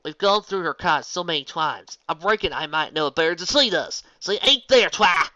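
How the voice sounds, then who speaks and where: A woman putting on a plain-spoken farm pony mare with a Southern US drawl, blunt and practical, her voice lively and bright. The recording is dry and a little dull. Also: lowish voice, moderate pace, no room sound, voice pushed up. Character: sturdy no-nonsense female farm pony.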